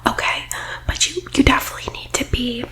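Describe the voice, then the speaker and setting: A woman whispering breathily in a persuasive ASMR roleplay, like a team leader giving a sales pitch, close to the microphone. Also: fairly quick, bright, forceful tone.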